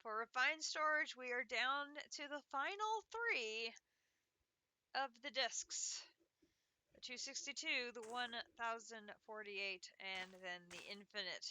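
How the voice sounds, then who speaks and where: A woman's voice: on the high side, slow pace, pushed and bright. A congested woman streamer casually walking viewers through her game progress. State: congested from allergies. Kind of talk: casual live game commentary.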